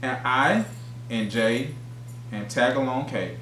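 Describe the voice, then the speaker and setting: A man reading a picture book aloud in a halting, plodding, disengaged way. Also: medium pitch, bright, forceful tone. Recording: echoey room, faint background noise.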